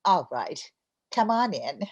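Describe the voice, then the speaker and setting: A woman playing an excitable, chatty, scheming housewife in an old-time radio comedy, with a theatrical manner. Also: fairly low pitch, dull audio, noisy background. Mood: brisk. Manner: theatrical, quick to jump to conclusions.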